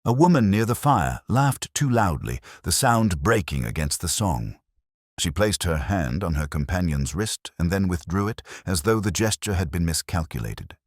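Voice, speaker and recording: A man playing a weary, erudite, melancholy police detective who narrates his story in a measured, literary first person. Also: low voice, very animated, no room sound, clean studio sound. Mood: wry, reflective. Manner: measured, brooding, wistful.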